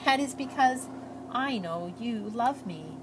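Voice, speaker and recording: A woman's voice: on the high side, animated, slow, noisy background, voice pushed up. A woman voicing an earnest, shy, hopeful immigrant girl reading out her letters, with heartfelt, lilting storybook delivery.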